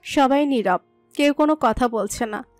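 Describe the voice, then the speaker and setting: High-pitched woman narrating romance. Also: moderate pace, no room sound. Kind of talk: scripted romantic story narration. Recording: dry voice over soft music.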